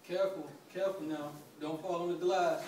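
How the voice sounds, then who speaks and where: An urgent, protective marshal keeps a march line together acted by a young man on stage. His voice is high for a man and rings from far off in a very echoey hall. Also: fairly even intonation, brisk, darkish tone, natural voice. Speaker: student performer in a dramatic reading. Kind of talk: dramatic reading with urgent calls. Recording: distant, very echoey hall, faint noise.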